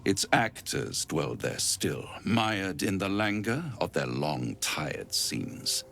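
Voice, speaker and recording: Game villain: urbane infernal schemer, slow and grandly theatrical, with animated swoops and quiet menace. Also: male voice, low-pitched, vocal fry, slight background noise, exaggerated voice. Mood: sly, theatrical. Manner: theatrical, silky, verse-quoting, grandiloquent. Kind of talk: video game dramatic acting.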